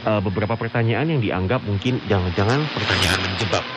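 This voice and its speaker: Guy co-hosting radio talk, kinda low voice, agreeable, really noisy shortwave. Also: a little fast. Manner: agreeable, frequent affirmations. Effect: shortwave radio reception. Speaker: radio co-host. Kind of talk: conversational radio talk show with tips.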